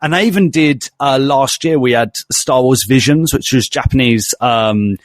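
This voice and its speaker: An English man hosts a podcast in a mid-pitched voice with a dry, close recording. He sounds earnest and enthusiastic, tripping over and repeating words as he goes. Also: moderate pace, no echo. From England. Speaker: podcast host. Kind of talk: casual podcast conversation.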